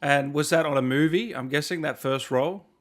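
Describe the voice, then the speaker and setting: A man's voice: a little high, a little fast. Matey man hosting an interview. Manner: matey, admiring. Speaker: interviewer, host. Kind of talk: casual interview.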